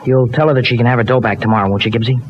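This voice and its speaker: A man acts a cocky young fellow in a vintage radio soap, wry and slangy. His medium-pitched voice is on a dull recording with faint noise. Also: steady tone, rapid-fire, natural voice. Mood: wry, teasing. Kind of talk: old-time radio soap opera acting.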